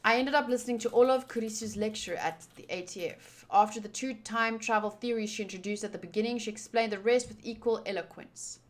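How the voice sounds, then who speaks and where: A woman streamer reading game text aloud and reacting out loud with exclamations as she comments. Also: a little high, a little fast, few pauses. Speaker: visual novel playthrough streamer.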